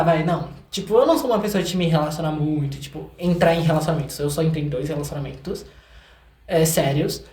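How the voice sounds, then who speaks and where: A young Brazilian man talking casually and candidly as a guest in an interview, with an expressive manner. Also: a little high. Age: young adult. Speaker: guest, singer and songwriter. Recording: roomy, echoey room sound.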